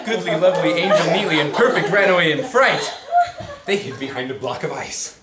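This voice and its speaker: A young man reading a picture book aloud to friends with a playful, animated delivery. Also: on the high side, a little fast, a loud crowd. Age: young adult. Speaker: storyteller. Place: small apartment living room with kitchen. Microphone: camera a few metres away. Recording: echoey room, clear noise, distant camera mic.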